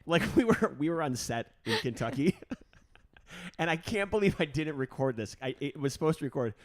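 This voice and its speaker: A man co-hosts a casual podcast in an upbeat way, laughing often and tripping over repeated words. His voice is on the high side for a man and swings widely in pitch. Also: animated, moderate pace. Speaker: podcast co-host.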